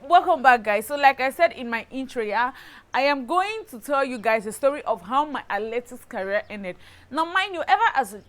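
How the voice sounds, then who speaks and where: A woman with a Cameroonian accent telling a personal story in a casual vlog style, animated and emphatic, often checking in with the listener. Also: sits high, moderate pace. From Cameroon, southwest region. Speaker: lifestyle YouTuber, host.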